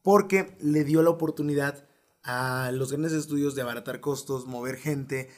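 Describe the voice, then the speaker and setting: A Mexican man co-hosting a podcast, explaining things in an animated, slangy casual chat. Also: medium pitch, moderate pace. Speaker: podcast co-host.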